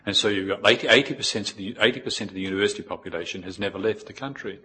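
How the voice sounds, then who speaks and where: A middle-aged man with a New Zealand accent giving an informal, reflective Buddhist talk in a measured way, with pauses. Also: on the low side, pushed and bright, slight background noise, rough recording. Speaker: Buddhist monk, teacher. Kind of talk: informal spoken dharma talk with personal anecdotes.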